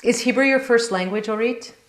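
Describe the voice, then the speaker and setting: A woman teacher answering questions in an informal, thoughtful, halting way, often checking with "right?", on a video call. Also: on the high side. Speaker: yoga teacher. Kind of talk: informal question-and-answer chat after class. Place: indoor room on a group video call. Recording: roomy, echoing video call sound.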